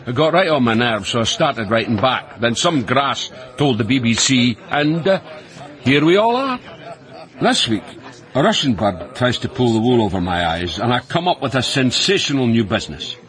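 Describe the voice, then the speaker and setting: A man's voice: mid-range voice, big pitch swings, moderate pace, fairly bright tone. An actor playing a roguish, boastful Scottish man in his sixties from Dundee in a radio comedy, colloquial and mock-sincere. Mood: matter-of-fact. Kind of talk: radio comedy acting, reading out emails.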